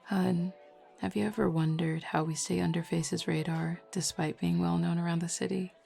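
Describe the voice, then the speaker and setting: A woman's voice: on the low side, steady tone, moderate pace, creaky, warm tone. A woman playing a flirty, self-assured werewolf pack beta in a roleplay audio drama, talking casually and teasingly to the listener. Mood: calm, explaining. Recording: lo-fi music bed behind voice.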